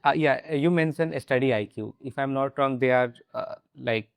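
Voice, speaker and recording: Man, Bihari Indian English accent, English trainer, relaxed and encouraging, dry close sound on a video call. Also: medium pitch, moderate pace, vocal fry, no room sound. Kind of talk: casual video-call conversation.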